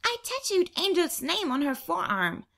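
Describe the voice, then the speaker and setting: A woman voicing an excited, playful little girl in an audiobook, with an eager childlike delivery. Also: very high-pitched, normal speed, creaky, voice pushed up, exaggerated voice. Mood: proud. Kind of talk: audiobook character voice.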